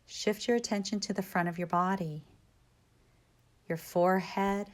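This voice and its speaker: A woman guiding a yoga nidra meditation in a calm, measured, instructional way, with short cues and pauses. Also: mid-range voice.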